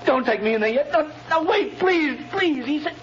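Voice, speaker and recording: A man's voice: very high voice, lively intonation, moderate pace, fairly bright tone, a little hiss or hum. An actor playing a terrified young male prisoner, stammering desperate pleas in a 1930s radio drama. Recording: dull old radio recording, heard from afar.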